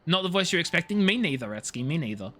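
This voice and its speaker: A man's voice: fairly high pitch, sing-song, fast, sharp tone. A man live streaming a video game, talking casually and jokily in slang with playful mock outrage. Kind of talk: casual chatty game commentary.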